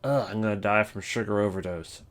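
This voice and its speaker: A man giving casual, opinionated video commentary on game voice lines, thinking aloud in halting, rambling sentences. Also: fairly low pitch. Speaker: video host.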